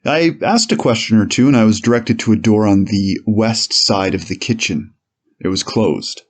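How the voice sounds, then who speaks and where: A man playing an 1890s police officer on the witness stand, giving measured, formal, slightly hesitant testimony in a dramatized courtroom reading. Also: lowish voice, close to an everyday voice.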